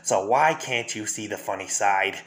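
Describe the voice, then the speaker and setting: Guy doing a crazy clown villain from a comic, bright edgy voice, taunting and erratic. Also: fairly low pitch, normal speed, close to an everyday voice. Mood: manic ranting. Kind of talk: fan dramatic reading of a comic.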